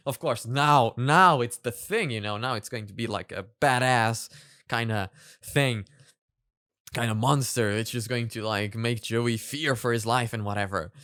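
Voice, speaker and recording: A man hosting a reaction video, commenting casually in a halting way and repeating words for emphasis. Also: medium pitch, fairly bright tone, dry recording, clean studio sound.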